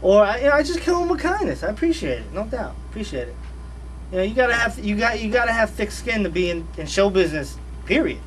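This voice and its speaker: Brief: high-pitched man, radio guest, slangy and repetitive, a little off-mic. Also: fast, far from the mic, slight background noise. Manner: laid-back, animated, slangy, repeats phrases. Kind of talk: casual radio interview chat. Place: radio studio.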